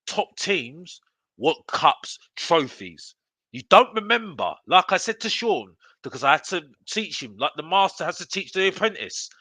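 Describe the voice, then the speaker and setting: A man's voice: on the high side, big pitch swings, a little slow, bright, forceful tone, no room sound, low-quality recording. A man hosting a live football fan show, ranting in an emphatic, repetitive, exasperated way. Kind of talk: live opinionated fan rant to viewers.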